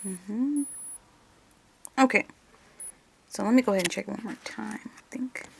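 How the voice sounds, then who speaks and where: A woman's voice: medium pitch, a little slow, vocal fry, a little hiss or hum, rough recording. A woman doing a one-on-one ASMR role-play as an examiner, hesitant and muttering to herself, drifting between normal voice and whispery murmur.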